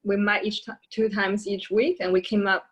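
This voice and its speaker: Woman, host, coach, video call, friendly, practical, mid-pitched. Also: flat delivery, moderate pace, breathy edge. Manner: friendly, practical, with fillers and laughs. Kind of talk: hosting an online show.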